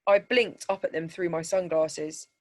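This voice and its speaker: A woman reads literary fiction aloud in a slightly low voice. Her narration is measured and deliberate, giving each descriptive phrase its space. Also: steady tone. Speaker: teacher reading a story aloud. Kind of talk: scripted story narration.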